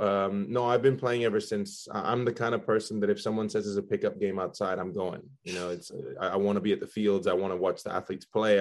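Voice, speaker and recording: A man's voice: sits low, darkish tone. A middle-aged man from Belgium, a soccer executive, answering interview questions thoughtfully with frequent ums. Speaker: guest, soccer general manager.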